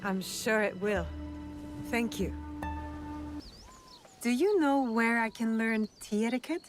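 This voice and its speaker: A woman's voice: a little high, lively intonation, takes it slowly. An actress playing a composed, bold young woman who poses as a merchant in a video game, poised, polite and direct. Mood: direct, determined. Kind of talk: video game cutscene acting. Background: background music.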